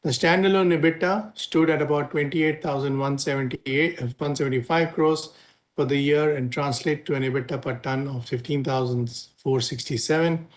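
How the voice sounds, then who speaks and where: A man with an Indian accent delivering prepared financial remarks as a company executive, formal and measured. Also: medium pitch. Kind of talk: prepared earnings call remarks.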